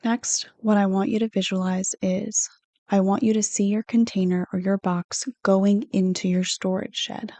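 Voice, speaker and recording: A woman reading a guided meditation script in a soothing, unhurried, gently instructive manner. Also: mid-range voice, flat delivery, dry recording. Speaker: counselor hosting guided meditations.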